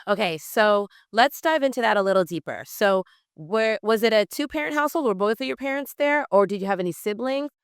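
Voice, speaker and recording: A woman's voice: mid-range voice, expressive, brisk, clear, resonant tone, studio quality. A woman hosting a conversational podcast interview, encouraging and often echoing what her guest says. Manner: encouraging, echoes guest's answers.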